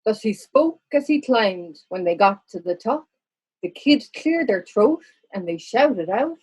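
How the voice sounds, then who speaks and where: Husky woman narrating a storybook. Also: medium pitch, lively intonation, unhurried, a little mellow, rough recording. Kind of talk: expressive children's storybook narration.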